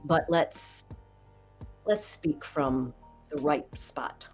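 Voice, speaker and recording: A woman in her sixties with a slightly low voice, a voice coach telling stories in an interview with slow, emphatic phrasing. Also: expressive, faint music behind. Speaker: voice coach guest. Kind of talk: conversational podcast interview.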